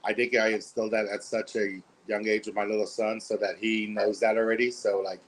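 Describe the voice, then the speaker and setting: A man on a video call as a panel guest, soft-spoken, earnest and rambling. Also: lowish voice, level tone, a little fast, poor audio. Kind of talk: casual chat.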